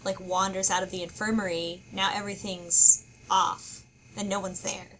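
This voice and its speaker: A woman with a mid-pitched voice co-hosts a casual discussion, with faint noise behind her. She speculates out loud in halting, puzzled phrases as she works through a story. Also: little pitch movement, low-quality recording. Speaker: book club podcast co-host.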